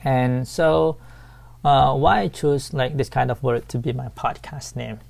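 A man's voice: mid-range voice, fairly even intonation, a little slow, gravelly, darkish tone. A man hosting a casual podcast, with a hesitant delivery full of fillers like "uh" and "like". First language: Thai. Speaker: podcast host.

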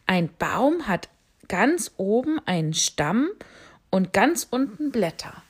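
A woman voicing a cheerful, muddled bat in a children's picture-book story, with a high, chirpy manner. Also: very animated, takes it slowly, husky, full character voice. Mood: confident. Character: odd, cheerful bat who sees everything upside down.

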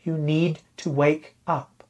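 A man's voice: fairly high pitch, little pitch movement, takes it slowly, darkish tone, voice pushed up. A man voicing a female spaceship intelligence in a story, firm, direct and insistent. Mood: urgent, insistent. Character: Thea, calm, insistent female ship intelligence speaking inside the pilot's head.

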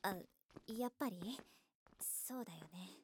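女性声優が、ぶっきらぼうで不器用に真剣な女子高生を演じている。. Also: female voice, medium pitch, a little slow, a little hiss or hum, natural voice. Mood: earnest. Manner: curt, awkwardly earnest. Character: blunt, awkward high school girl. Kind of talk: voice drama acting.

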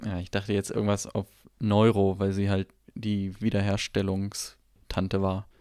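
A man's voice: lowish voice, monotone, measured pace, warm tone, clear, resonant tone, no room sound, studio quality. A man co-hosting a film podcast, discussing movies in a casual way.

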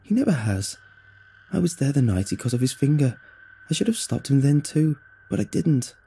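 A man's voice: mid-range voice, very animated, normal speed, gravelly, dark tone, slightly rough, pitched above the performer's own voice, exaggerated voice. A man voicing an anxious young woman who blames herself and speaks earnestly in a storybook. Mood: regretful. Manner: earnest, self-reproaching. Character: anxious, guilt-ridden young woman guide.

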